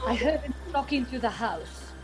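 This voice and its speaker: A woman's voice: a little high, expressive, moderate pace, lightly acted. A woman playing a superstitious, doom-saying housekeeper in a radio play, dramatic and foreboding. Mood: alarmed, foreboding. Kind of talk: theatrical radio play acting.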